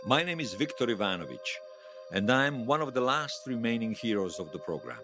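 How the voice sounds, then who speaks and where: A man acting the part of a wistful, wry old hero in his seventies, reminiscing haltingly in a radio drama interview. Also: mid-range voice, sing-song, music in the background. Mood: solemn, grand. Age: 70s. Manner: halting, reflective, self-deprecating. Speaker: interview guest.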